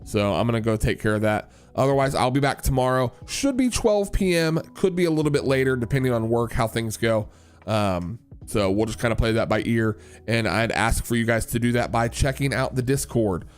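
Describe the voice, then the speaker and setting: A man's voice: medium pitch, a little fast, smooth. Chatty male streamer, faint music behind. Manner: rambling, restarts words, answers chat. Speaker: livestream host and worship leader. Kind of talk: casual livestream chat.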